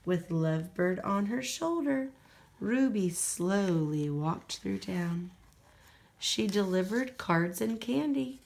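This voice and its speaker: A woman reading a children's picture book aloud in an expressive, deliberate storytelling manner. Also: mid-range voice, creaky. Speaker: storybook reader.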